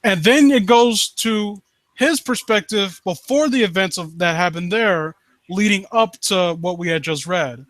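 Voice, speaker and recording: A man hosting a live stream, reading and commenting on stories in a halting, rambling way and often rephrasing himself. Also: sits high, fairly bright tone, no room sound, low-quality recording. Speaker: live stream host reading and critiquing amateur horror stories. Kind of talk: story read-aloud and casual commentary.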